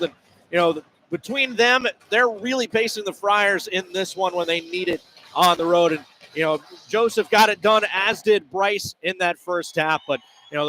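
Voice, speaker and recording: An American man hosts a radio sports halftime with a notably high, bright voice. His delivery is that of live game commentary, with some arena noise audible behind him. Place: indoor basketball arena.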